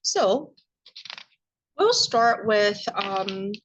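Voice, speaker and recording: A woman giving an academic conference presentation in a measured way, with occasional filler sounds. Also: mid-range voice, sing-song, slight background noise. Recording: dull lo-fi recording.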